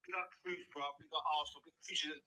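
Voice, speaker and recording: A man's voice: on the high side, flat delivery, racing, sharp tone, poor audio. A man from Dublin calling in to a football show, speaking in emphatic, rambling, slangy casual chat. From Dublin, Ireland. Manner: emphatic, rambling, punctuates with "bro". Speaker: caller, Newcastle fan.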